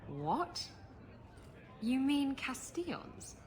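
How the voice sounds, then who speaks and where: An actress playing a brash, roguish pirate swindler in a video game, sassy and cheeky in manner. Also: female voice, high-pitched, very animated, drawn-out words, full character voice. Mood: warm, wry.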